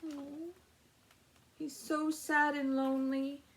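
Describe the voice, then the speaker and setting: A woman's voice: high-pitched, little pitch movement, slow pace. A woman presenting story time for young children, reading aloud in an exaggerated sing-song way and asking the children questions.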